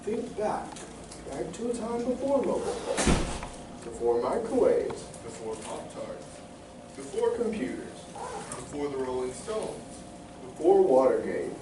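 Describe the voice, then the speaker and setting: A man's voice: on the high side, very animated, a little slow, recorded from a distance. A young man playing a formal, long-winded radio announcer, giving a scripted comic announcement on stage. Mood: formal, grandiose. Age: young adult. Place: live stage in a community playhouse. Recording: echoey hall from afar, faint noise.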